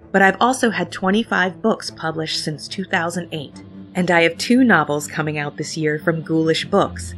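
Female podcast host, lower register, playful scripted intro delivery, music underneath. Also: a bit bright. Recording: music bed behind the voice. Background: background music.

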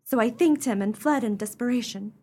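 A woman's voice: on the high side, fairly quick, a little mellow. An actress playing a desperate, forlorn young mother in a comic radio melodrama, with earnest, melodramatic delivery. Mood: forlorn, bitter.

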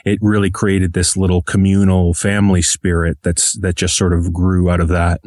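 Low-voiced man answering interview questions. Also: completely flat delivery, normal speed, darkish tone, no room sound, studio quality. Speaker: podcast creator being interviewed.

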